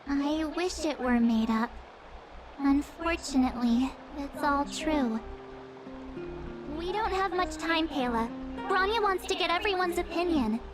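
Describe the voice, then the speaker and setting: A very high-voiced teenage girl from a video game, perky and earnest. Her delivery is animated and lively, with music playing behind her. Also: unhurried.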